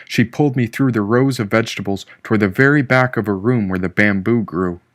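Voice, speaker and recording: A man's voice: on the low side, brisk, fairly bright tone, no echo, studio quality. A man reading a first-person novel aloud as a scripted audiobook narrator. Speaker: audiobook narrator, author reading own book.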